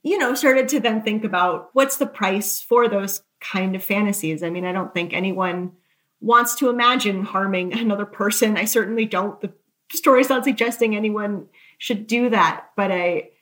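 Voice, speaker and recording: A woman's voice: mid-range voice, normal speed, a bit bright. A woman author being interviewed, talking candidly and conversationally, with self-deprecating asides and many loose hedges. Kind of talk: conversational interview, telling anecdotes.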